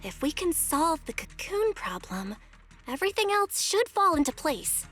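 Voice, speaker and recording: A woman's voice: sits high, very animated, moderate pace, fairly bright tone, faint music behind. A woman playing a caring, sensible young woman who leads her group in a video game. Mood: focused.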